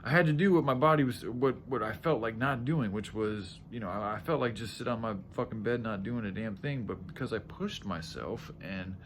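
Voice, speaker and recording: A man with a groggy, tired voice talking casually to viewers in a halting, self-correcting, sweary ramble, often clearing his throat. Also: fairly low pitch, slight background noise. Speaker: vlogger.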